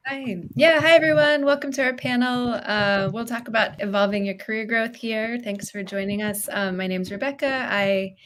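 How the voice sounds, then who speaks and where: A woman's voice: fairly high pitch, fairly even intonation, moderate pace, hardly any pauses, fairly bright tone. An American woman casually introducing herself as a panelist. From United States. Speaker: panelist, content designer. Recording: faint noise on online call.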